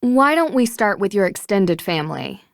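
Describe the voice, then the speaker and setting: A woman's voice: mid-range voice, normal speed, vocal fry, no echo. The level-headed young American woman detective you steer through a mystery game, politely probing each suspect in a mid-range voice, recorded dry and close. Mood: inquisitive. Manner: even-keeled, politely probing, gently teasing. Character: composed, inquisitive young female detective. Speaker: video game protagonist, voice actress. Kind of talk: acted dialogue in an adventure game.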